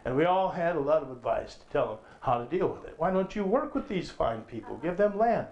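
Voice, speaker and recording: A man's voice: fairly high pitch, sing-song, fairly quick, creaky, lo-fi sound. A middle-aged man preaching from a lectern on stage at a conference, emphatic and full of rhetorical questions, his voice rising when he quotes scripture. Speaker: conference speaker. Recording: echoey hall.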